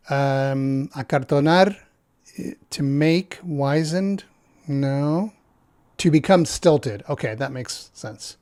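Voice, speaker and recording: A man from Argentina with an Argentine accent reads a text aloud and comments on it, thinking out loud with many pauses and fillers. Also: medium pitch, no echo, studio quality. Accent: Argentine Spanish. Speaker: channel host.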